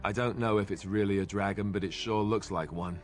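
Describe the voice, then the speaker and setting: An actor playing a friendly, self-deprecating young warrior in a fantasy video game, conversational and wry. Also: male voice, fairly low pitch, steady tone, fairly quick, faint music behind, close to an everyday voice. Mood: wry, reassuring.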